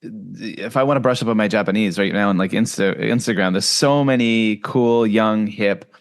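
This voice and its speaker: A man's voice: on the low side, normal speed. Man, podcast host, casual interview chat, encouraging interjections. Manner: relaxed, affirming interjections.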